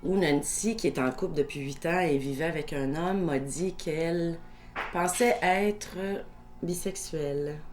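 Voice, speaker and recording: Low-voiced Québécoise telling her story. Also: a little mellow, a little hiss or hum. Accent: Québécois French. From Quebec. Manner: measured, reflective storyteller. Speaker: documentary interviewee. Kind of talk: personal storytelling interview.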